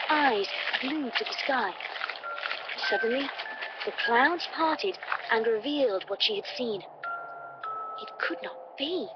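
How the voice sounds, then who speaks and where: A woman reading a scripted story as a dramatic narrator. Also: high-pitched. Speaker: fan fiction reader. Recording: muffled lo-fi playback. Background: music.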